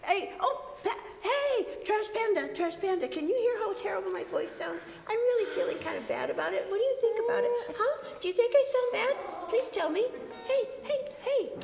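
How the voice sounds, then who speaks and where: A woman's voice: very high-pitched, animated, normal speed, gravelly, hardly any pauses, over music, pitched above the performer's own voice, exaggerated voice. A woman doing a fretful pack rat puppet. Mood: whiny. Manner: complaining, self-absorbed, then polite. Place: church sanctuary. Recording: echoey hall sound.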